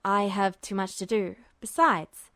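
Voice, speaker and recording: A woman's voice: medium pitch, measured pace, a bit bright, dry recording, clean studio sound, voice pushed down, close to an everyday voice. A woman voicing an easygoing, teasing, fatalistic teenage boy soldier in a story reading. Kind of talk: audiobook-style character reading of fan fiction.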